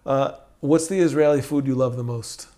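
A male podcast host with a mid-pitched, everyday voice asks questions in a chatty, upbeat way. He laughs easily and keeps the conversation moving. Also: fairly even intonation, normal speed, mellow. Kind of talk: casual interview conversation.

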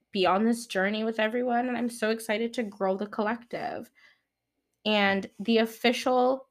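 A young woman in her twenties hosts a podcast on her own, talking in a loose, playful ramble. She jokes around, laughs at her own lines, and speaks in a middle-pitched voice. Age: 20s. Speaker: podcast host. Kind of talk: solo podcast chat.

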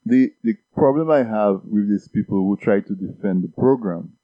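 Low-voiced guy from dominica, keeps repeating words as he builds his points, dry dull sound. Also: normal speed, no room sound. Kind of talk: casual discussion.

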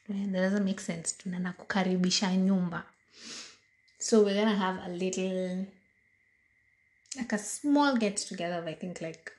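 A woman in her thirties with a Kenyan accent hosts her own talk show alone. Her voice is a bit hoarse this morning, and she talks in a slow, relaxed way, filling her pauses with ums and uhs. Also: fairly low pitch. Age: 30s. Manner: slow, deliberate, chatty with frequent fillers. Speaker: podcast host. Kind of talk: casual solo monologue.

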